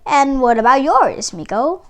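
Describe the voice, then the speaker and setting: A tradition-bound farmer father from a folktale, read by a woman. He is firm and decided, with high pitch and lively intonation. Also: a little slow. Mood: approving.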